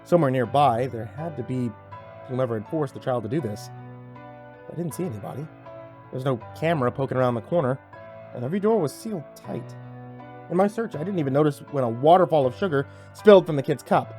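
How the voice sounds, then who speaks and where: A man giving a theatrical, expressive first-person narration of a horror story, acting out the dialogue. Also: mid-range voice, brisk, soft background music.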